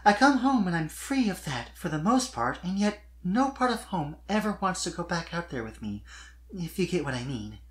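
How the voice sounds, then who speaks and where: A performer voicing a sweet, shy but earnest young female pony in a fan fiction reading, soft-spoken and tentative. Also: medium pitch, big pitch swings, normal speed, voice pushed up, close to an everyday voice. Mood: shy, confiding.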